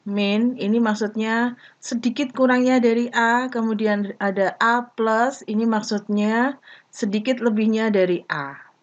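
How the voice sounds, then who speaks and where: A woman giving a math lesson, explaining step by step in short, deliberate phrases. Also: on the high side, steady tone. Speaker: math teacher. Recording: dull lo-fi recording.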